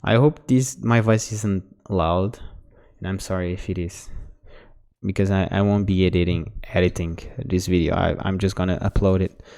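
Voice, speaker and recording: A man's voice: low voice, fairly even intonation, moderate pace, vocal fry, a little mellow. A man whose first language is Portuguese, coaching players through a recorded match in casual, instructive commentary. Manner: instructive, talks the viewer through mistakes. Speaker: game coach. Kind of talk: casual demo review commentary.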